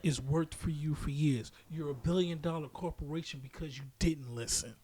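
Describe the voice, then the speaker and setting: A man's voice: on the high side, normal speed, warm tone, breathy edge. A man giving casual, opinionated podcast commentary as a host, speaking bluntly and emphatically with frequent swearing.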